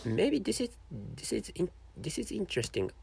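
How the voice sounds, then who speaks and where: A man whose first language is Japanese, speaking English with a Japanese accent in a halting solo commentary, repeating words and correcting himself. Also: on the high side, wildly swooping pitch, creaky, a little mellow, breathy edge. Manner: halting, with heavy repetition and self-correction. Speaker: podcast host.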